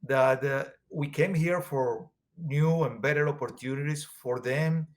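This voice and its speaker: A man from Venezuela whose first language is Spanish, speaking in a Venezuelan accent in an earnest, halting way with many fillers as an interview guest. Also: on the high side. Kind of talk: conversational interview.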